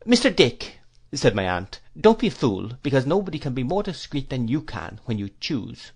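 A man reading aloud and voicing a brusque, formidable older aunt who barks short, sharp exclamations. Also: mid-range voice, very animated, normal speed, creaky, breathy edge, exaggerated voice. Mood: brisk. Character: fierce old spinster aunt, brusque.

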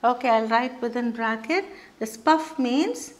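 A middle-aged woman teaching a beginners' lesson at a whiteboard, explaining terms slowly and checking in with frequent "okay". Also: fairly high pitch, animated. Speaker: English teacher. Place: studio classroom with whiteboard.

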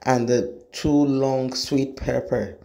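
A woman's voice: extremely low pitch, slow, warm tone. A middle-aged woman hosting a home cooking demonstration, explaining each step to viewers in her kitchen. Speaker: cooking show host.

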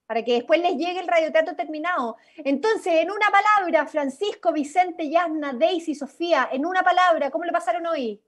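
A woman's voice: extremely high pitch, fairly quick, hardly any pauses. A woman casually hosting a workshop over a video call. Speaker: workshop host, theatre actor.

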